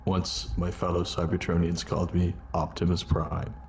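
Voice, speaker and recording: Casting: solemn robot war leader, male actor, very low and gravelly, measured cartoon-epic delivery. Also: monotone, slight background noise. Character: weary, noble robot war commander, solemn and reassuring. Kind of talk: dramatic first-person narration in a cartoon fan dub.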